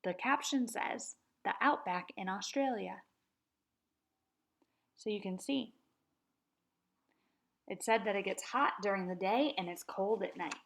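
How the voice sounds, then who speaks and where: Slow, sing-song kindergarten teacher woman. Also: mid-range voice, creaky. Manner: slow, patient, sing-song chanting of words for children to repeat. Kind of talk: read-aloud lesson for young children.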